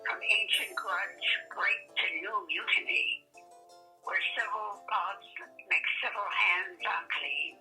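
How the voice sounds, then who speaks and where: A woman's voice: mid-range voice, steady tone, takes it slowly, sharp tone. A woman playing an earnest Shakespearean prologue narrator in a radio play, with a tinny, radio-like sound. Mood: solemn. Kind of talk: radio play verse recital. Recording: tinny radio-like sound, distant, faint music.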